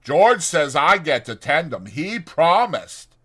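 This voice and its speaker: A man's voice: on the high side, sing-song, takes it slowly, sharp tone, breathy edge, pitched above the performer's own voice, heavily acted. A man voicing a big, simple-minded ranch laborer, childish, insistent and pleading, for a dramatized audiobook. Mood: pleading, eager.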